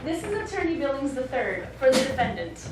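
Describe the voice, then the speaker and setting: A woman's voice: high-pitched, big pitch swings, fairly quick, recorded from a distance. A woman playing an arch, sarcastic, playfully scheming wealthy wife in a live stage play. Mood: teasing. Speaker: stage actor. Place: small theatre studio, recorded from the audience. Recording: echoey stage recording from afar, background noise.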